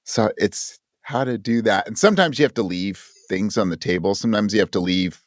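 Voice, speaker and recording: A man's voice: lowish voice, brisk, no echo. Thoughtful man in a dry, close recording. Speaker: interview guest, audio drama writer. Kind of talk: conversational interview about writing.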